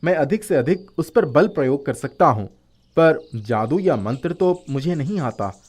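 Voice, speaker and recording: A man's voice: a little high, expressive, a little slow, darkish tone, slightly rough. Man playing a gallant, earnest young storybook prince. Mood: wary, protective. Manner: formal, gallant, earnest delivery. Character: brave, chivalrous, courteous young prince.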